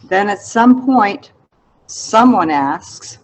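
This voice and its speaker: A woman reads from her own literary memoir at a slow pace. Her voice sits at an average pitch for a woman. The delivery is deliberate and reflective, as an author presenting an excerpt. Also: lively intonation, low-quality recording. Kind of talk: literary memoir narration, read aloud.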